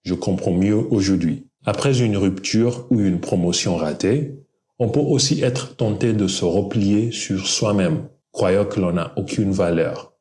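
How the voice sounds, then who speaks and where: A young Kenyan man with a Kenyan accent, whose first language is Luo, speaking French in a calm, measured and earnest scripted monologue. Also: deep voice. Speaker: video creator.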